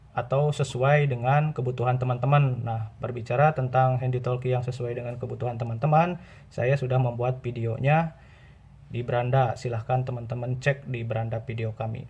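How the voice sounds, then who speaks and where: A man hosting an informal tutorial, explaining step by step with pauses and repetition. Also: medium pitch. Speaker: video host and radio seller. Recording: dull, muffled lo-fi audio.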